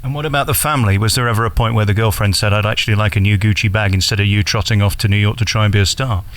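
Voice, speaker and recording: Man, brisk pace, radio interviewer, conversational, direct and probing. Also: lowish voice, steady tone, a bit bright.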